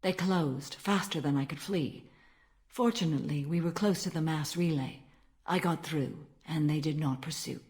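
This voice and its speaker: An actress playing a stern, ancient alien warrior-monk in a video game, speaking in a formal, measured and solemn manner. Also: female voice, low-pitched, darkish tone, natural voice. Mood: solemn recollection. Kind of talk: dramatic video game dialogue.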